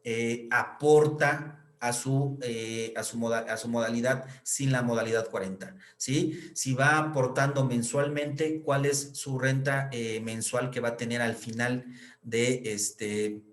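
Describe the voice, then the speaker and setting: A mid-pitched Mexican man works through pension figures like an accountant teaching a class. He fills gaps with "eh" and keeps checking that the listener follows. The room around him rings with echo. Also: fairly even intonation, a little slow. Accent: Mexican Spanish. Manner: explanatory, many fillers and tag questions. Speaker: accountant explaining pension reform. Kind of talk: explanatory lecture. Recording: echoey room.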